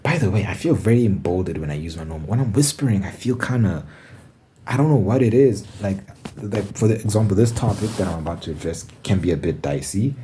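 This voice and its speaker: A man's voice: low-pitched, lively intonation, brisk, warm tone, slight background noise. A man from Zimbabwe talking casually to camera in his room, rambling and correcting himself as he thinks aloud. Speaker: ASMR channel host. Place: bedroom with window.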